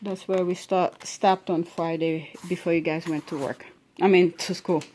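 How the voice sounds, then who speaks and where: A woman's voice: lowish voice, steady tone, normal speed, a little mellow, slight background noise. A woman with an accent reading a history book aloud to her children at home, stretching key words and stopping often with "okay?" to check they understand. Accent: non-standard English accent.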